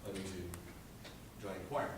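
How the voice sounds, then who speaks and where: A teenage high school boy with a low speaking voice gives an earnest, rambling presentation about his senior project, with many fillers. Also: takes it slowly. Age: teens. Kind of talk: presentation speech. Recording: echoey room, distant mic, background noise.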